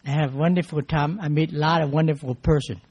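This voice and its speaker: A man's voice: a little high, fairly even intonation, fast, dull audio, noisy background. An older man from China with a Chinese accent, giving a simple, friendly greeting.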